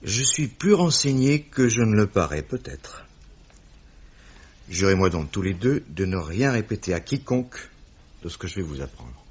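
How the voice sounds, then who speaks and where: An actor playing a shrewd, authoritative police commissioner, speaking formally and courteously in a 1950s-style French radio mystery. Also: male voice, low voice, very animated. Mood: dry, reserved. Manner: formal, measured, theatrical. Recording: dull vintage radio recording.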